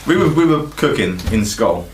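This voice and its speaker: A man's voice: mid-range voice, sing-song, normal speed. A young man co-hosting a loose comedy chat, his voice swinging up and down as he jokes and laughs through rambling asides. The room is echoey, with a faint hiss underneath. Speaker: co-host.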